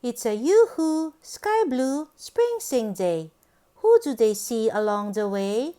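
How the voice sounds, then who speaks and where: Female children's storybook reader, high voice, lively swooping intonation, sing-song and deliberate. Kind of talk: read-aloud picture book storytelling for young children.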